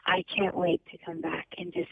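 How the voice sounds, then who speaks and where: A young woman from Ottawa with a slightly raspy voice, an upbeat guest calling in to a radio show and laughing often. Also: lowish voice, steady tone, moderate pace. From Ottawa, Canada. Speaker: phone-in guest. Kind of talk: casual radio interview. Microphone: phone line. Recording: tinny dry phone line.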